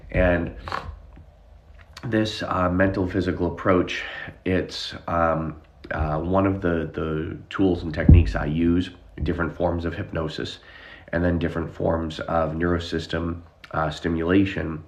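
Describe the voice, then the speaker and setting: Very deep-voiced nasal man. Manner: rambling, many fillers and restarts. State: nasal from a chest cough. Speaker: anxiety relief therapist and hypnotist. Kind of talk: casual live-stream talk to viewers.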